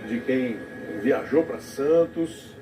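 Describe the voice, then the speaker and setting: Male tv commentator, halting and reflective, sounds far off in an echoey room with noise behind. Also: mid-range voice. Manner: reflective, halting, with many restarts. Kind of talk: live broadcast commentary. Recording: TV audio recorded off the air from a distance in an echoey room, noisy.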